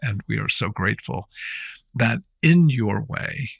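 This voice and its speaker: A man hosting a podcast, talking in an earnest, rambling way with many halting restarts. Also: fairly low pitch, expressive, creaky, slightly rough, no echo, studio quality. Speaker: podcast host, founder of the center. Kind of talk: warm conversational podcast interview.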